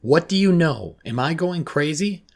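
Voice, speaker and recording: A man's voice: on the low side, animated, normal speed, breathy edge, voice pushed up. A man voicing a frightened, distraught teenage schoolgirl in an audio story, pleading and agitated. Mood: agitated, demanding.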